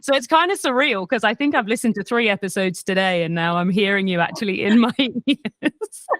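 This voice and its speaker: A Welsh woman whose accent has softened after years in Australia, telling history as a podcast guest in an animated, self-deprecating way. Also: mid-range voice, brisk, dry recording. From Wales. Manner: animated, self-deprecating, frequent restarts and laughs. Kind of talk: casual conversational storytelling.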